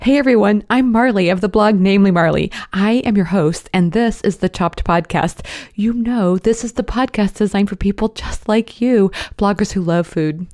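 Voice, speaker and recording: A woman's voice: medium pitch, moderate pace, a little mellow. Chatty, upbeat woman podcast host. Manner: chatty, upbeat, many fillers like "you know". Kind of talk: podcast intro and interview.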